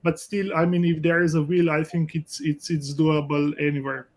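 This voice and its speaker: A male professor with a Slovenian accent, speaking on a panel in a halting way with many restarts and fillers. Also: on the high side, little pitch movement. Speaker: pediatric lipid specialist, professor. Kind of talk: panel discussion.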